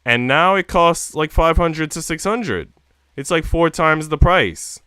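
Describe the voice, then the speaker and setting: A man's voice: a little high, animated, a little slow, bright, forceful tone, clear, resonant tone, no room sound. A man livestreaming, chatting casually with viewers in a rambling, slangy way with casual swearing. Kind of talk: casual chat with stream viewers.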